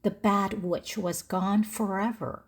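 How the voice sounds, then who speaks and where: A woman reading a children's story aloud in short, deliberate phrases for language learners. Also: mid-range voice, steady tone, slightly rough. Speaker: storybook narrator.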